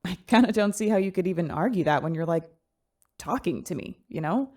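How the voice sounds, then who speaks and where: A woman's voice: on the low side, animated, a little fast, darkish tone. An actress playing a computerized teenage girl who talks in casual, upbeat teen chatter. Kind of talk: sci-fi radio drama.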